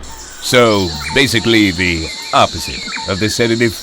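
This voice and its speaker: An actor playing a commanding, sarcastic soldier who leads a squad in an animated action game. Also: male voice, lowish voice, moderate pace, heavy background noise, close to an everyday voice. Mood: sardonic. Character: gruff wisecracking male soldier squad leader. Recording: loud outdoor ambience and effects behind.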